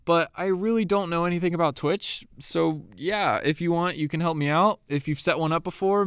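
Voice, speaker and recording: A man's voice: fairly high pitch, clear, resonant tone, no room sound, studio quality. A young man, a bit sniffly, giving casual, eager commentary to his viewers as a YouTube creator. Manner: chatty and eager.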